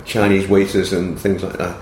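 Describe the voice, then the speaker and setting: A man's voice: low-pitched, level tone, moderate pace, a little mellow. A middle-aged man, an actor, talking in a hesitant way with many restarts during an interview. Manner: hesitant, full of restarts and repetitions. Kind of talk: interview conversation. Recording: echoey room with faint background noise.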